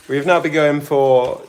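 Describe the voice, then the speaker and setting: A man's voice: fairly high pitch, fairly even intonation, slow pace, darkish tone. A British man chatting casually on a live stream while cleaning, reading out and answering viewer comments. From Britain. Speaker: vlogger. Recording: roomy home recording with faint noise.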